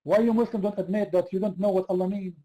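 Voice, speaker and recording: A man's voice: high-pitched, steady tone, quick pace, dark tone, rough recording. A man hosting a heated online debate, pressing the same question again and again. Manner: insistent, repeats the same question. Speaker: debate host.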